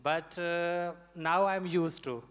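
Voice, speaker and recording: A young man with a Pakistani accent answering informally on a student panel, in a halting way with repeated phrases. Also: sits high, fairly even intonation, a bit bright, clear, resonant tone. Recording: reverberant hall over microphone.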